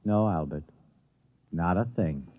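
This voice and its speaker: An actor playing a weary, resigned boss in 1950s radio drama, giving terse, wry replies. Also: male voice, low voice, slow pace, over loud music. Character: weary, resigned male boss humouring his robot. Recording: dull vintage radio recording.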